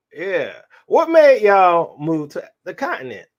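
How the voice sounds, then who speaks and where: Laid-back male host, slightly high voice. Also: slow pace, lo-fi sound. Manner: relaxed, laughing, casual. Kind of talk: interview.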